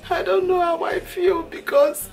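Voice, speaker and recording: A woman's voice: very high voice, little pitch movement, a little slow, a little mellow, soft background music. A woman in her thirties, a mom vlogger, chatting heartfelt and casual to her viewers with lots of filler words, filmed on a camera held at arm's length. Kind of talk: casual vlog talk to viewers.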